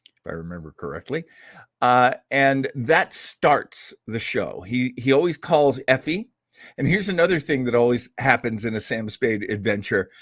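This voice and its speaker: A man hosting casually, rambling like an animated storyteller with wry asides as he introduces vintage radio shows. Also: mid-range voice, no room sound, studio quality. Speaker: host of an old-time radio show program.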